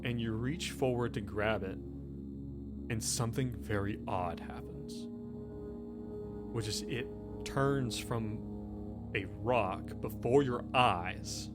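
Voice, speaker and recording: A man running a tabletop game, improvising the narration haltingly and repeating himself for suspense. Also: medium pitch, loud music behind the voice. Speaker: game master.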